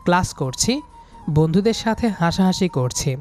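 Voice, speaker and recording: A man's voice: high voice, lively intonation, unhurried, darkish tone. Young guy telling a first person story w/ acted lines, some music under it. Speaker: story narrator playing the student hero. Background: background music.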